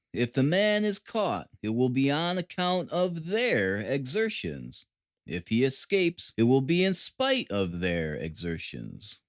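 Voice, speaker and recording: A man voicing a confident, amused gentleman detective in an audiobook, with a wry, assured and commanding manner. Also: medium pitch, no room sound, studio quality.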